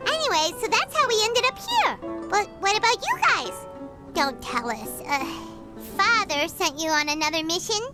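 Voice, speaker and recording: A woman voicing a tiny, cheerful, childlike fairy companion in a video game, chirpy and excitable. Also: very high voice, normal speed, over music. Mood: chatty. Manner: excitable, chirpy, refers to self by name.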